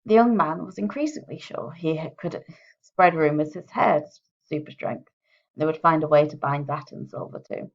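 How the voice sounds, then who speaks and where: Low-voiced, creaky woman author, muffled recording. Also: normal speed. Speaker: author reading own book. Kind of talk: chatty introduction, then expressive audiobook-style reading. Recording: dull, muffled home recording.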